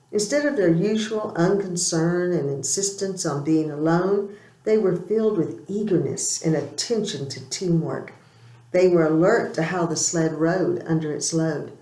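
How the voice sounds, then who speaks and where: Low-voiced woman reading a story, roomy echo. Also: mellow. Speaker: audiobook reader. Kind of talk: scripted storybook narration, read aloud. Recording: roomy, echoing amateur recording.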